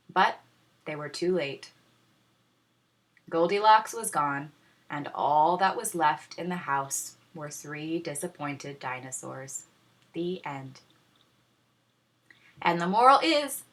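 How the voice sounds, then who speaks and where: Animated, bright-voiced woman reading a storybook. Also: low-pitched. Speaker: teacher reading aloud. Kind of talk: animated children's storybook narration.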